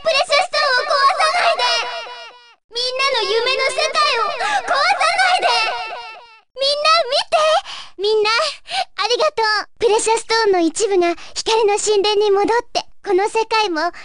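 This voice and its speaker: An actress playing a gentle, earnest young fairy guide of a dream world in a video game, with a wistful, pleading manner. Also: female voice, extremely high pitch, moderate pace, background noise. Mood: desperate, then relieved. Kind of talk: Japanese video game story acting.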